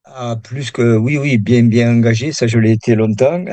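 A man's voice: medium pitch, fairly even intonation, a little slow, dark tone, dry recording. A man in his sixties with a southern French accent, a meditation teacher speaking as a guest in a radio interview, hesitant with many restarts. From Perpignan, southern France. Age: 60s.

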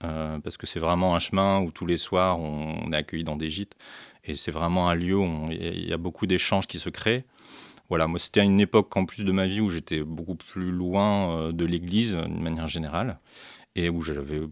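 A man's voice: low-pitched, fairly even intonation, a little fast, no echo, studio quality. A man from central France, a guest on a radio interview, telling his story with many fillers and restarts. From central France, Orléans area. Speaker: guest, beekeeper and deacon.

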